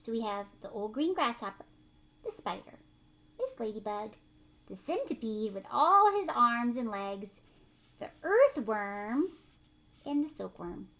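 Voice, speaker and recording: A woman with a fairly high, very lively voice reads a children's book aloud like a teacher. She pauses for drama and stops to explain things and put questions to her young listeners.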